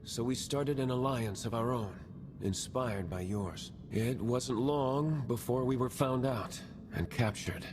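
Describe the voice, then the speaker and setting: Man playing a keen politician over rumble. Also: a little slow, natural voice. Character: eager, personable male politician turned rebel. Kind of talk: video game acting.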